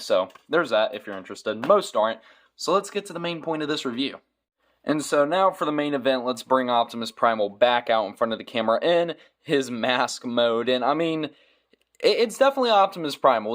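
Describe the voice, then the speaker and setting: A man doing casual product review commentary as a toy reviewer, with dry, joking asides. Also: medium pitch.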